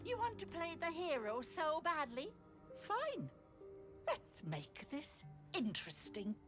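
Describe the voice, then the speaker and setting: A woman's voice: sits high, huge pitch swings, takes it slowly, over music, heavily acted. A woman voicing a sly old hag who poses as a doting auntie in a video game, with an Irish accent and sugary endearments edged with menace. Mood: menacing. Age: older. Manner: cloying endearments turning to menace. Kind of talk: theatrical video game acting.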